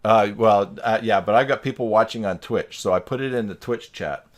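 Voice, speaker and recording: A man's voice: fairly low pitch, normal speed. A man hosting a live stream in casual, teasing chat on a video call. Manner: joking, teasing.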